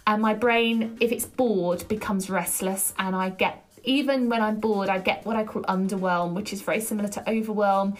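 A woman hosting her own podcast, talking alone in an energetic, chatty way with a loud voice and frequent ums. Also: medium pitch, normal speed, hardly any pauses. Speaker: podcast host. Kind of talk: solo podcast monologue.

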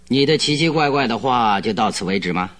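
An actor playing a shrewd, self-assured male detective who questions in a commanding, deliberate way in a radio drama. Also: mid-range voice, lively intonation, fairly bright tone, lightly acted. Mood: pondering, probing. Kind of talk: Chinese radio drama acting.

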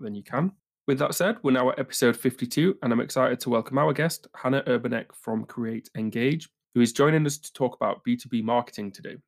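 A man hosting a marketing podcast, speaking at a middling pitch with an even, well-rehearsed delivery. He reads a polished welcome and puts questions to his guest in a steady, conversational way. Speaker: podcast host.

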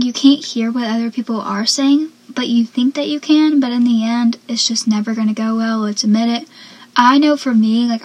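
A seventeen-year-old girl with a soft, young-sounding voice, chatting casually as a podcast host and dropping into whispered asides. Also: fairly high pitch. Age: teens. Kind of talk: casual solo podcast chat.

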